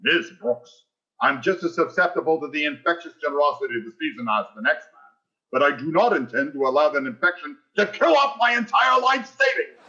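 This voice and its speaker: A man's voice: a little high, lively intonation, fairly quick, pushed and bright, dull audio. A man playing a pompous, blustering, tight-fisted principal in a theatrical radio sitcom style. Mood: outraged. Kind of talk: 1940s radio sitcom acting on stage.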